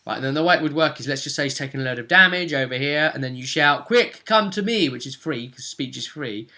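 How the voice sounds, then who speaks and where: A man's voice: mid-range voice, lively intonation, fast, pushed and bright. A man talking casually through a tabletop roleplaying recap as game master, thinking aloud and checking with tag questions.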